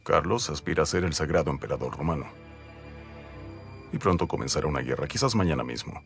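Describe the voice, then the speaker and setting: A young sultan who speaks in slow, commanding decrees. His voice sits very low and steady. Soft score plays beneath him. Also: faint music behind. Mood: stern. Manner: slow, authoritative pronouncements. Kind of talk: Spanish dub of a historical series.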